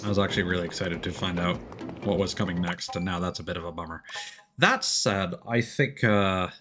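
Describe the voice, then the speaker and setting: A man's voice: deep voice, fairly quick, bright, forceful tone. A man livestreaming a game with casual commentary, musing aloud in a laid-back way. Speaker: livestream host. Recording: game music behind the voice. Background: video game music.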